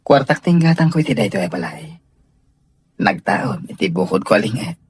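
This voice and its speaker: A man's voice: medium pitch, expressive, fairly quick, slightly rough, dry recording, clean studio sound. A Filipino man playing a devoted young sweetheart in a radio drama, speaking earnestly and tenderly. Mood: earnest, reassuring. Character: devoted young male sweetheart surprising his beloved with a house. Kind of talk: Ilocano radio drama acting.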